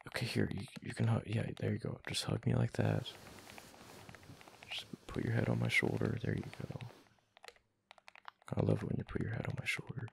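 A man playing a young gamer boyfriend in an ASMR roleplay, reluctant but affectionate, with halting, slangy, casual talk. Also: very animated, slight background noise, full character voice. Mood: soft, reluctant, affectionate. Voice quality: deep, gravelly, breathy murmur at times. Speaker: voice actor in boyfriend roleplay audio.

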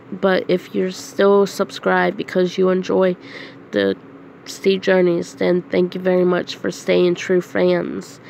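A woman with a head cold, sounding nasal and hoarse, talking to her channel's viewers in a slow, halting casual announcement. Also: lowish voice, darkish tone, a little hiss or hum, low-quality recording. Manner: slow, halting, with frequent throat clearing and coughs. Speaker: channel host. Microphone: phone screen recorder.

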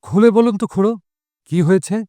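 A man's voice: high voice, moderate pace, warm tone, dry recording, clean studio sound, natural voice. A man playing a worried, simple village father in an audio drama. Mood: anxious questioning. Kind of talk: Bengali audio drama acting.

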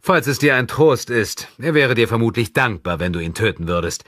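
Audiobook role: commanding male warrior , acted by a male narrator, gruff and emphatic, raised well above a narrating pitch. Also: lively intonation, moderate pace, a bit bright, no echo, clean studio sound. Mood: grimly amused. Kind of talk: audiobook character dialogue.

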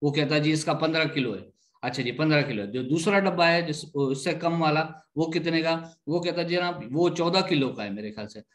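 A man with a fairly high voice teaches an online class. He explains things step by step, repeats his points and keeps checking that everyone follows. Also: brisk. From Pakistan. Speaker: instructor.

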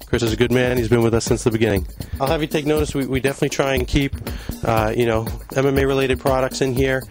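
A man in his thirties from Long Island giving a casual, filler-heavy walkthrough of his store, speaking into a handheld mic. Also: medium pitch. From Long Island, New York. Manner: conversational, many fillers and restarts. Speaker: store owner giving a tour. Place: indoor retail store. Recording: background music in a shop. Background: music playing in the store.

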